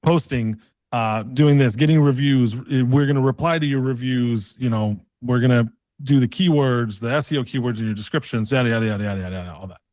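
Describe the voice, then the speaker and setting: Male sales coach for a mock call pitch: colloquial, persistent, pushy closer, mid-pitched voice in a dry recording. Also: a little fast, a little mellow, dry recording. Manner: pushy, persistent, uses tag questions; casual, colloquial phrasing.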